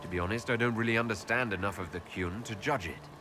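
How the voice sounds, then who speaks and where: A man's voice: fairly low pitch, fast, lightly acted. A man playing an inquisitive elf leader in a video game, asking questions politely and directly. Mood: direct, composed. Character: male elf leader, inquisitive, diplomatic hero. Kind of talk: video game cutscene acting. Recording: game capture with loud ambient background noise.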